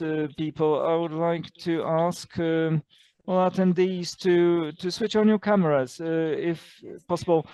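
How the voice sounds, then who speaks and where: A man interpreting an online lecture into English as it is given, halting with frequent fillers and uneven bursts of speed. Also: a little high, a little mellow, clear, resonant tone, low-quality recording.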